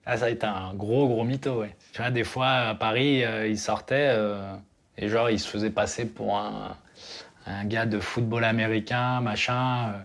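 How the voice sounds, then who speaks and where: A man's voice: lowish voice, steady tone, normal speed. A man, the subject's brother, recalling memories in an easygoing interview. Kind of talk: casual interview.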